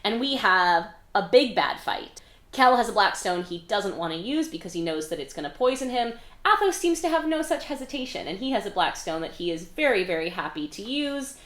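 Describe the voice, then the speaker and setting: A woman with a medium-pitched voice retells a story in a casual, conversational way. She is wry and leans into key words for dramatic effect. The room adds an audible echo around her voice. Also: very animated, a little fast, a bit bright. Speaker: author hosting a readalong of own novel. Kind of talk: casual, animated book recap.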